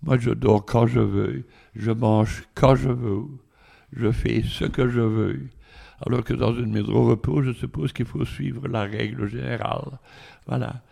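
An older man speaks slowly and haltingly, short of breath, pausing between phrases. His voice is heavily gravelly and husky. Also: medium pitch, fairly even intonation, mellow. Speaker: interviewee, elderly resident. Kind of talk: casual interview answers.

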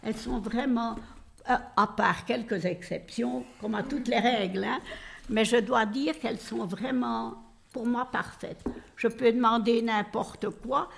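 A woman's voice: on the high side, unhurried, creaky, a little hiss or hum. An older woman from Belgium, a nursing home resident chatting at length with many fillers. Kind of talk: casual interview answers.

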